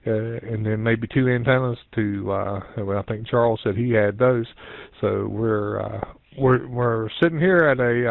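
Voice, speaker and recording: A man giving a casual, halting, meandering update as an amateur radio operator on a net. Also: mid-range voice, no echo. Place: home office. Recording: dull narrowband internet radio link.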